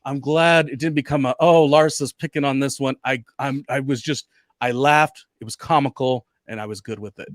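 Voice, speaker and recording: A man hosting a casual reality TV recap podcast, talking in an animated way and hedging his points with many fillers. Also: mid-range voice, moderate pace, dry recording, studio quality. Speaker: podcast host.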